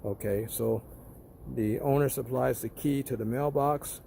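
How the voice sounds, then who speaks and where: A man with a mellow, fry-laden voice narrates a casual walkthrough as a tenant. His delivery is plain and explanatory, restating points for emphasis. He is recorded on the move in a parking lot, with faint vehicle noise behind him. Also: mid-range voice, steady tone, measured pace. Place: walking around an apartment building parking lot.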